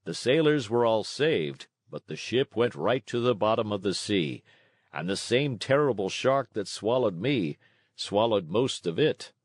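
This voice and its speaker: A man voicing a weary, gentle old father with a measured, tender delivery in a storybook read-along. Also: on the low side, sing-song, no echo, clean studio sound. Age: older.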